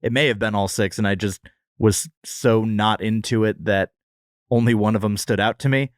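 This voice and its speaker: A man's voice: lowish voice, fairly even intonation, moderate pace, smooth, no echo. Male podcast co-host for comic banter; jokey exaggerating storyteller, close dry recording. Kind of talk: casual comedic podcast banter.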